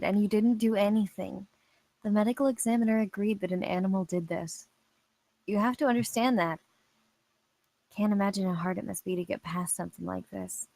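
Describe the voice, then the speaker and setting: A woman playing a steady, worried police officer and loyal friend in a screenplay table read. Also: mid-range voice, no echo, lightly acted, poor audio.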